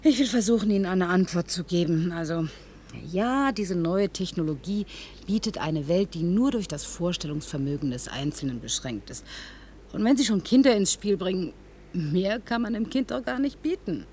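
A woman's voice: on the low side, animated, moderate pace, a little mellow, slight background noise, lightly acted. An actress playing a proud, impatient female scientist in a radio drama, with a brusque, emphatic delivery. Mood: defensive, impatient. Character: proud, impatient female scientist defending her visionary technology.

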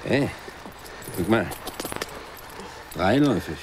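Radio drama thug: mocking, slangy, slow and highly animated, over noisy ambience. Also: male voice. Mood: curious, mocking. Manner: barking, taunting, slangy. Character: bullying male highway robber, mocking and greedy.

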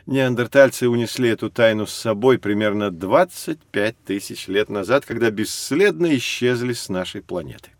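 Man playing a professor type in an educational audio story, explains stuff slowly and deliberately like telling a tale. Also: on the low side, dry recording, natural voice. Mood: patient, instructive. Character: learned male professor guiding children through prehistory. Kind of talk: scripted educational audio drama.

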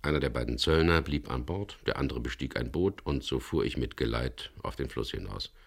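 An actor playing a wry, weary boat skipper who narrates his adventure in a dry, measured way in a German crime radio play. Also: very deep voice, vocal fry, exaggerated voice. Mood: calm, reflective. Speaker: first-person narrator and lead character.

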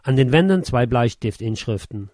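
A man's voice: mid-range voice, expressive, moderate pace, a little mellow, no echo. Sober male memoir narrator, dry studio sound. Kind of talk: scripted first-person narration.

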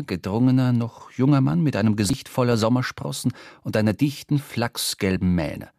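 Actor as a dry-recorded storytelling seafarer. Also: male voice, mid-range voice, darkish tone, no echo, close to an everyday voice. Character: storytelling whaling crewman narrating the voyage. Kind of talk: scripted literary narration.

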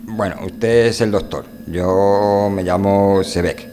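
A man playing a wary, stubborn visiting scientist from another world in a radio drama, halting and questioning. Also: on the low side, steady tone, background noise. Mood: confused, reluctant. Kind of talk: amateur radio drama reading.

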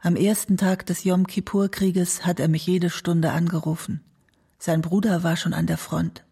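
A woman's voice: fairly low pitch, little pitch movement, moderate pace, vocal fry, mellow, breathy edge, heavily acted. An actress playing an elderly mother who recalls her worries about her son in a radio play. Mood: subdued, worried. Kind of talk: radio play documentary monologue.

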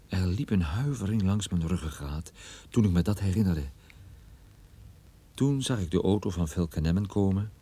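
A man acting a level-headed private detective who tells his own case in a radio play, in measured, suspenseful storytelling. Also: lowish voice, mellow, no room sound. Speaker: radio drama actor. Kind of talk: radio play dialogue and first-person narration.

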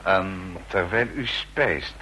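A deep-voiced, formal butler. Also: male voice, pushed and bright, slight background noise, heavily acted. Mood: formal, polite. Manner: formal, polite, deliberate. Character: formal, watchful male house servant. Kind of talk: vintage radio drama acting.

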